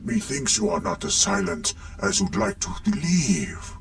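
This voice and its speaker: Evil sorcerer, high swooping pitch, theatrical, gloating menace, drawn-out words, fantasy roleplay. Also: male voice, wildly swooping pitch, vocal fry, a bit bright, a little hiss or hum, full character voice. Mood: suspicious, gloating. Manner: theatrical, drawn-out delivery sinking into whispers. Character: menacing, gloating sorcerous villain bent on destruction.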